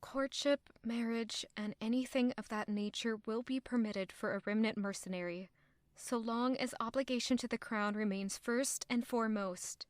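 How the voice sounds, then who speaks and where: An actress playing a young maid who is defensive and in love, thinking aloud with strong emotion in an audio drama. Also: female voice, on the high side, fairly even intonation, normal speed, no room sound, natural voice. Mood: pensive.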